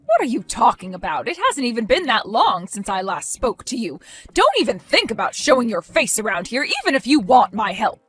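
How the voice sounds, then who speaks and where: A woman voicing an indignant older woman who scolds and accuses in a lively, high voice. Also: a little fast, few pauses, slightly rough, no room sound, faint music behind, heavily acted. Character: furious indignant older woman caring for a baby.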